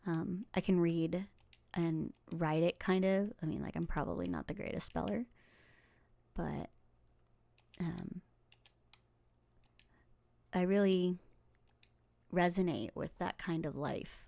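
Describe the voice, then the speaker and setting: A woman in her forties with a lowish, gravelly voice talks unhurriedly over a game she is playing. She rambles in a chatty way, with fillers, soft laughs and murmured asides to herself. She is from Los Angeles, and her first language is Tagalog. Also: slow, long pauses, no room sound. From Los Angeles, United States. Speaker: game streamer. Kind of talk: casual gameplay commentary.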